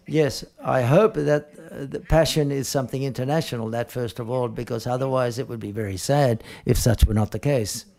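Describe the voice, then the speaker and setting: A man interpreting live at a film press conference, speaking in halting, piecemeal phrases and often correcting himself. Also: medium pitch, vocal fry, warm tone.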